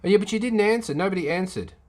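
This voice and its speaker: A man's voice: sits high, animated, rapid-fire. Rambling man chatting with a livestream. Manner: rambling, many fillers and restarts; reads and replies to chat comments. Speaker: livestream host answering chat. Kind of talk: casual live chat.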